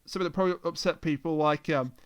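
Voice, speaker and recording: A man's voice: on the high side, racing, smooth. A man co-hosting a review podcast in casual conversation. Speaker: podcast co-host reviewing an audio drama. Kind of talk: casual chat.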